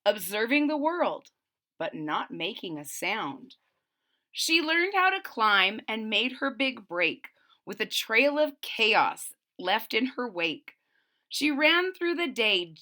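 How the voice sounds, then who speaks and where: A woman reading a rhyming children's picture book aloud, pausing for plain-spoken asides that explain the story to young listeners. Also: a little high, bright, forceful tone. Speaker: read-aloud narrator.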